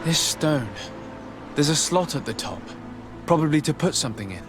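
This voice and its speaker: An actor playing a calm, courteous, inquisitive male adventurer in a fantasy video game, polite and measured. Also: a little high, over music, lightly acted. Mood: puzzled. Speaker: player protagonist. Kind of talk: fantasy game dialogue acting.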